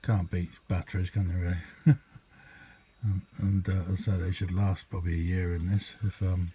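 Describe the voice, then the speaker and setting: A man's voice: low-pitched, little pitch movement, moderate pace, mellow. A middle-aged or older British man giving a casual, unscripted hobby demonstration in a rambling, hesitant, easygoing way. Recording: clearly noisy amateur home recording.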